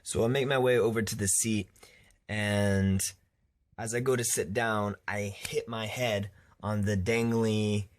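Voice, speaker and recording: Young male vlogger, lower-pitched, casual storyteller with exasperated, self-deprecating delivery. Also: steady tone, unhurried, slight background noise.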